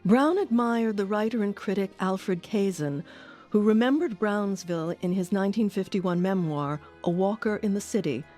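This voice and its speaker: A woman's voice: medium pitch, moderate pace, warm tone. A woman reading scripted documentary narration as the host of an audio series. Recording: music under the voice.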